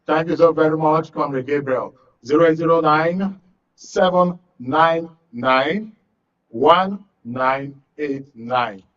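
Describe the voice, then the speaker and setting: A man's voice: a little high, slow pace, fairly bright tone. Nigerian male radio host, distant dull sound. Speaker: radio talk show host. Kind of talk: live phone-in hosting.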